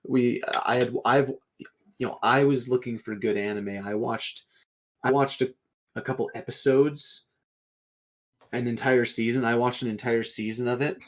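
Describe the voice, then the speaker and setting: Man, mid-pitched voice, casual storyteller, rambling, self-correcting, sardonic joking. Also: steady tone, normal speed. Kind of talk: casual joking banter among friends.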